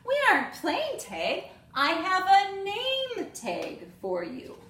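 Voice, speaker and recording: A woman's voice: very high voice, huge pitch swings, fairly bright tone, pitched above the performer's own voice, full character voice. A woman voicing a patient, cheerful primary school teacher in a storybook, speaking in an instructive way. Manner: drawn-out, instructive. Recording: roomy echo, clear background noise.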